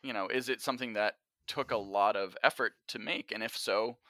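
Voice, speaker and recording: A man's voice: fairly low pitch, a little fast, pushed and bright, no room sound, clean studio sound. A man in a casual, reflective conversation as a podcast guest, speaking candidly and hesitantly in a self-effacing way. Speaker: podcast guest, actor and writer of audio drama.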